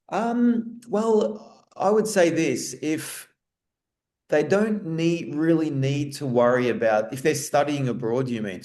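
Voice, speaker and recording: An Australian man answering webinar questions in a relaxed, rambling way, restarting his sentences often. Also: medium pitch. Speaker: guest speaker. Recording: roomy echo.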